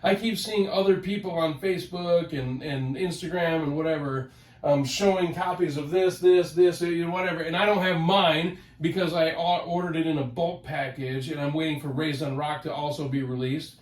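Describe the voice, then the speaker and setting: Man, nasal with a head cold, excitable, rambling, home office, distant mic, echoey room. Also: on the high side, normal speed. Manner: excitable, rambling, repeats words. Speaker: collector vlog host. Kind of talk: casual rambling vlog talk. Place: home office, ten-foot ceiling.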